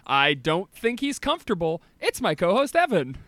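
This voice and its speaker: A male podcast host with a high voice, recorded close and dry. He talks in casual comedic banter, joking as he goes, and his pitch moves around a great deal. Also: very animated, normal speed, a bit bright, dry recording.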